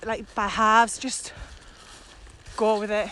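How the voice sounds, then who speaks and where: A woman's voice: medium pitch, flat delivery, takes it slowly, bright, forceful tone. Enthusiastic woman chatting outdoors. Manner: enthusiastic, emphatic. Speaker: podcast co-host, virtual trainer. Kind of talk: casual chat. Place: outdoors, walking in the countryside. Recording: outdoor walking recording with ambient noise.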